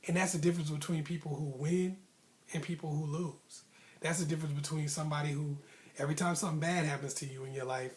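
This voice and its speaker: A man with a slightly high voice tells a personal story casually, like a vlogger talking to followers. His phrasing is colloquial and slangy, and he repeats lines to drive a motivational point home. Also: steady tone, fast talker.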